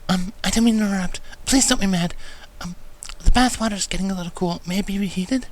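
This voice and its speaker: A meek, apologetic lady pony voiced by a man with a soft, raised pitch. She speaks hesitantly and carefully, a shy cartoon character in a story. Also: fast, natural voice.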